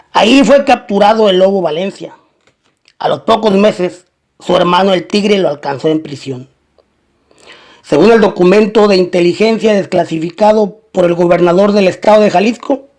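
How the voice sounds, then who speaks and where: A male narrator tells a scripted crime story in documentary style. His voice sits high for a man and has a strong gravelly fry running through it. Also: darkish tone.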